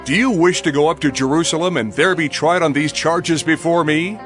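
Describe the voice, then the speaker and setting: An actor playing a formal, commanding Roman governor in a dramatized audio Bible, speaking in an oratorical manner. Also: male voice, on the high side, fairly even intonation, brisk, a bit bright, faint music behind, lightly acted. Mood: formal, authoritative.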